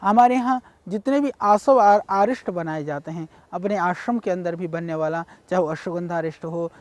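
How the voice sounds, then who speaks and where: A man from India giving a measured, explanatory health talk on ayurveda, speaking directly to viewers. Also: sits high, a little mellow. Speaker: ayurveda teacher.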